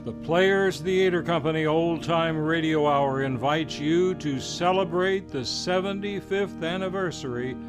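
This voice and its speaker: Male old-time radio host, higher-set voice, formal and showy announcer delivery, plucked guitar underneath. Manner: formal, theatrical, deliberate emphasis. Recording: guitar music behind the voice. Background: plucked guitar music.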